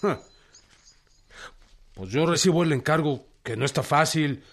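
A man's voice: fairly high pitch, a little slow, gravelly. An actor playing a modest but resolute young village man with a Mexican accent, plain-spoken and hesitant, in a radio drama. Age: young adult.

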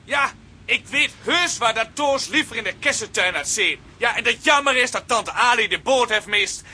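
An actor playing an indignant, fed-up man in a Dutch radio drama, forceful and given to shouting. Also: very high-pitched, animated, normal speed, a little hiss or hum, heavily acted. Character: indignant, fed-up man defending a slaving Christmas cook.

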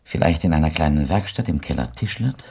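Radio play: ingratiating blind widower, very low husky voice, poetic and formal. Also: male voice, expressive, brisk, darkish tone, heavily acted. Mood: flattering, observant. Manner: flowery, poetic, formally polite, hesitant. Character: courtly blind widowed former musician, ingratiating and observant. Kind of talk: German radio drama acting.

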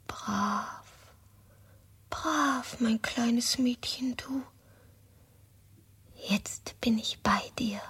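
Slow, whispering seductive night visitor. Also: female voice, on the high side, pushed and bright, exaggerated voice. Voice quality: breathy whisper. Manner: slow, coaxing. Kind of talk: radio drama acting, intimate whispering.

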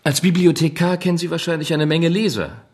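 An actor playing a sly, inquisitive, bookish secretary in a German radio play, with a husky voice and precise questions. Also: male voice, a little high, fairly even intonation, brisk, a little mellow. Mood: probing. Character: inquisitive, sly, educated male private secretary.

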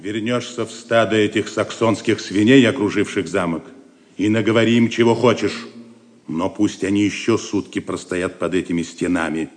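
A man's voice: on the low side, moderate pace, a little hiss or hum, natural voice. An actor playing a domineering Norman castle lord in an audio drama, commanding and threatening in his delivery. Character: domineering, menacing Norman baron, master of the castle. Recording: long hall reverb, faint music underneath.

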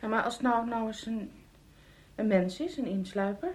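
An actress playing an anxious, high-strung wife in a radio play, agitated and emotional. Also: female voice, moderate pace, background noise, close to an everyday voice. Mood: uneasy. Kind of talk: Dutch radio play dialogue.

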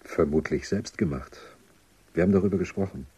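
An actor playing a defiant, dryly ironic sacked thinker in a dystopian radio drama, with a husky, insistent delivery. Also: male voice, low-pitched, a little fast, warm tone. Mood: hushed, intent. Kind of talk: German radio drama acting.

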